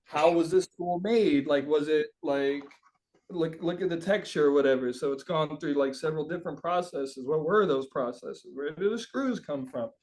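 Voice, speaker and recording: A man's voice: fairly high pitch, normal speed, darkish tone, low-quality recording. A man being interviewed as a musician, chatting casually and reflectively, searching for his words with informal, sweary phrasing.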